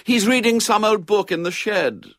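A man's voice: fairly high pitch, animated, normal speed, fairly bright tone, no room sound, clean studio sound, voice pushed up. A man voicing a bossy, impatient grown-up sister in a storybook reading, calling out sharply.

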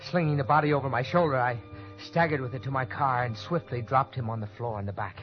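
A man's voice: mid-range voice, fast talker, natural voice. An actor playing a panicked young man who narrates his own desperate cover-up in old-time radio suspense style, tense and stammering when pressed. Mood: tense narrating. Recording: dull old radio recording, loud music.

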